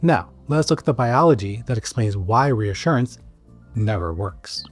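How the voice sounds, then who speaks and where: A man narrating a scripted educational explainer as a psychiatrist host, stressing key words with dramatic pauses. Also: lowish voice, animated, slightly rough, soft background music.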